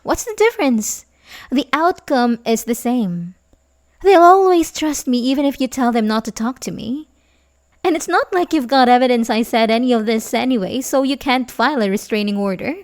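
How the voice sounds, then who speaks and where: A woman playing an obsessive, manipulative young babysitter in love in an ASMR roleplay, halting and pleading with soft menace. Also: fairly high pitch, animated. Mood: coldly menacing. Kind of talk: ASMR roleplay audio drama, addressing the listener.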